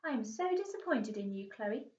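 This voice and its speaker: A woman voicing a stern, scornful mother scolding her daughter in a storybook, haughty and sharp. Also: fairly high pitch, big pitch swings, normal speed. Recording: muffled, echoey room, distant mic.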